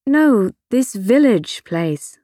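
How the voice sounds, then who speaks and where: A woman's voice: on the high side, big pitch swings, very slow, warm tone, no echo, heavily acted. A woman playing a guarded, sardonic adult in an audiobook, terse and impatient with children. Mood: dry, probing. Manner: dry, terse, sarcastic. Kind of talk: audiobook character dialogue.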